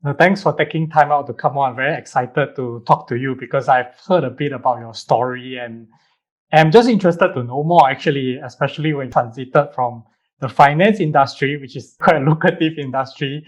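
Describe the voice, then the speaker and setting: A man's voice: mid-range voice, fairly quick. A man hosting a podcast, upbeat and chatty, asking long, rambling questions. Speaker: podcast host. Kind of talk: casual interview chat.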